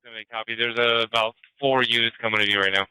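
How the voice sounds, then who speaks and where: A man working as a dispatcher, talking briskly over police radio. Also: mid-range voice, fairly even intonation, pushed and bright, smooth, dry recording, low-quality recording.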